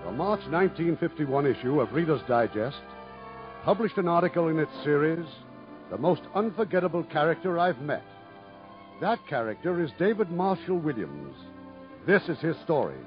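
A man's voice: on the high side, big pitch swings, moderate pace, soft background music. A man hosting a 1950s radio drama as its producer, reading a formal scripted introduction. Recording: muffled 1950s radio recording.

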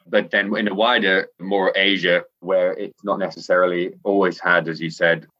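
A man's voice: sits low, fairly even intonation, normal speed, bright, forceful tone, dull audio. A man from Scotland with a faintly English-sounding accent, chatting enthusiastically as an interview guest over an internet call. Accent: English-sounding Scottish. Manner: chatty, enthusiastic, frequent fillers. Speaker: interview guest, sports editor.

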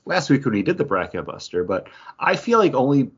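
A man's voice: medium pitch, big pitch swings, brisk, husky, dull audio. A man co-hosting a podcast, chatting casually about pop culture. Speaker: podcast co-host.

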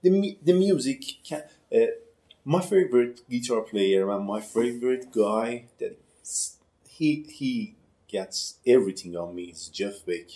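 A man in his thirties from Iran, a musician guest speaking halting non-native English with many restarts in a casual interview chat, his first language Persian. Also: mid-range voice, lively intonation, mellow, reverberant. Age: 30s.

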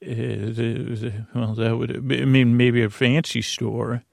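Creaky, halting man rambling at bedtime. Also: medium pitch, little pitch movement, a little mellow, no echo. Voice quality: creaky, gravelly. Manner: halting, meandering, full of stammered false starts and tangents. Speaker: podcast host. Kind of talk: rambling bedtime monologue to help listeners sleep.

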